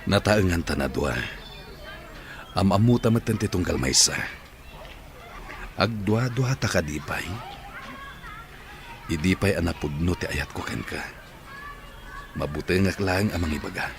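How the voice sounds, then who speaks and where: A man's voice: deep voice, a little slow, gravelly, long pauses, background noise. A man playing an earnest suitor in an Ilocano audio drama, speaking sincerely with an Ilocano accent. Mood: pleading. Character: earnest adult suitor promising a happy family. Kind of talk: Ilocano radio-style drama acting.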